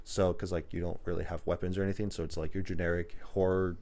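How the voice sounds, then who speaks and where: A man with a low voice talks casually, like someone co-hosting a podcast. He explains things in a loose, rambling way, stringing his thoughts together as he goes. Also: flat delivery, fast, a little mellow. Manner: rambling, filler-heavy, loosely strung explanations. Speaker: podcast co-host. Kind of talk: casual chat about video game movies.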